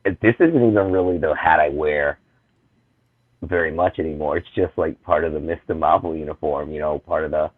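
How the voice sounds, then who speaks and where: A man from Boston with a very low voice, sarcastic and animated as he chats on a livestream. The sound is tinny and phone-like, and the room is dry and close. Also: a little fast, no room sound. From Boston, Massachusetts. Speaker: guest comic creator. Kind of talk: casual chat on a livestream.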